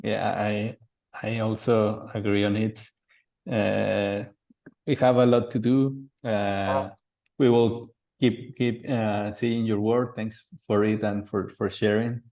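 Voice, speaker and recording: A man with a Spanish accent, a native Spanish speaker, hosting a podcast and wrapping up an interview in halting English that repeats words. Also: on the low side, level tone, gravelly, a little mellow. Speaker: podcast host. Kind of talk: podcast interview, closing remarks.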